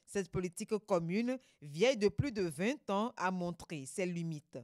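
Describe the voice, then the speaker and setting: Low-voiced woman reporter, dry sound. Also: animated, measured pace, a little mellow, no room sound. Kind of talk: scripted news narration.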